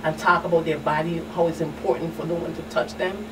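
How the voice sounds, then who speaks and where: Low-pitched woman, noisy recording. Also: flat delivery, brisk. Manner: earnest, repetitive, often adds "you understand". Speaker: community outreach specialist, guest expert. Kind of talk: interview answers, conversational. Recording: distant mic, clear background noise.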